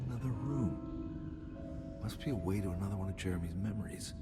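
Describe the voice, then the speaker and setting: An actor playing a weary, questioning private investigator in a video game cutscene, speaking in a low-key, probing way. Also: male voice, lightly acted. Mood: quiet, musing. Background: music.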